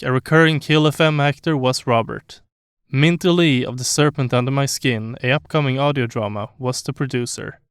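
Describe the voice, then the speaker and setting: A man's voice: mid-range voice, clear, resonant tone, no room sound, clean studio sound. A man reading scripted closing credits as the announcer of an audio drama.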